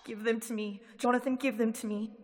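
A frightened young woman under a vampire's spell, played by an actress whispers in a husky voice, then pleads in a high, frantic rush. She sounds distant, with a long hall reverb trailing after her. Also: fairly even intonation, brisk, close to an everyday voice. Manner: breathy whispers turning frantic. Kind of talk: radio drama acting.

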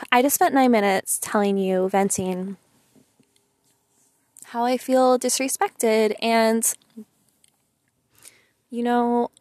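A woman's voice: on the high side, darkish tone, no echo. A young woman with a sweet voice venting casually to listeners, chatty and emphatic, full of "like" and "you know". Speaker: vlogger.

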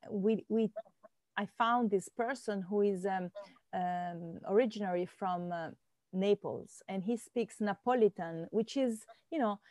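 A woman's voice: mid-range voice, dry recording. A woman with an Italian accent, her first language, hosting a casual video chat and often repeating short phrases with enthusiasm.

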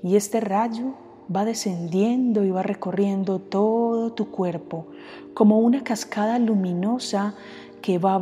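A woman guides a meditation in a soft, mellow voice. She speaks very slowly and gently, leaving space between phrases. Her voice carries a long reverb, with music playing underneath. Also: mid-range voice. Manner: unhurried, gentle, with long pauses. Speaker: meditation guide, podcast host. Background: background music.

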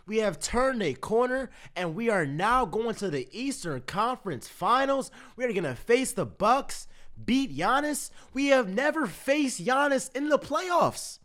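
High-voiced man ranting, strained voice. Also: very animated, a little slow, a bit bright. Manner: heated, repetitive, exasperated ranting. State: voice strained from cheering. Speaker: sports fan. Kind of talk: ranting commentary to camera.

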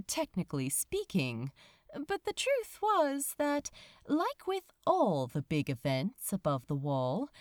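Female audiobook narrator, unhurried and highly animated, wry theatrical storyteller for fantasy fiction. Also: no room sound, studio quality. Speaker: fan fiction audiobook reader.

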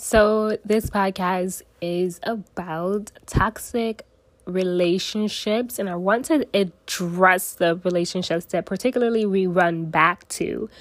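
Slow, nasal woman podcasting by phone. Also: mid-range voice, no echo. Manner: earnest, deliberate, speaks in short phrases. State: nasal from a cold. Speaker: podcast host. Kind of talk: solo podcast monologue.

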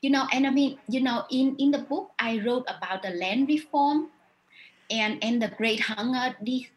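A woman's voice: fairly high pitch, normal speed, muffled recording. A woman from Vietnam with a Vietnamese accent, speaking thoughtfully in an interview about her writing, often restarting and adding "you know". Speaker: author. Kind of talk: reflective interview answers.

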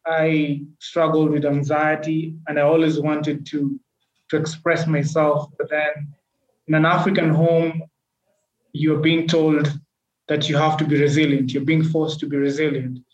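A man's voice: on the high side, level tone. Earnest young man in an echoey room. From Kenya. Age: 20s. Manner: earnest, reflective, measured. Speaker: podcast guest. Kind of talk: personal storytelling in an interview. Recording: echoey, dull lo-fi recording.

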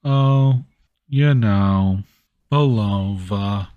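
A man's voice: lowish voice, no echo, highs cut off, pitched below the performer's own voice. Man voicing a forlorn, simple-minded living scarecrow. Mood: sad, wistful. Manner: naive, forlorn, halting. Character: simple, sad, gentle living scarecrow, missing its head.

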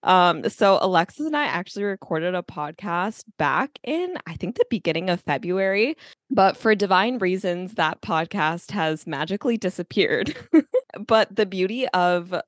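A woman's voice: lowish voice, expressive, moderate pace, no echo, studio quality. A woman hosting a podcast in casual chat, talking freely with lots of fillers and easy laughs. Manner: chatty, laughs easily, many fillers. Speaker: podcast host.

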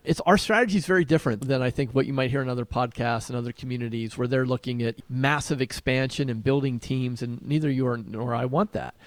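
A man's voice: medium pitch, no echo. Casual male podcast co-host, close dry sound.

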